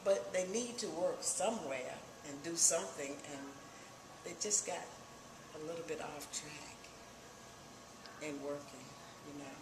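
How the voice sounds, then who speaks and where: A woman's voice: sits low, a little slow. An older woman from Virginia with a Southern accent, reminiscing emphatically and repeating phrases for weight. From Virginia, United States. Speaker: oral history interviewee. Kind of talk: personal reminiscence. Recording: echoey room with clear background noise.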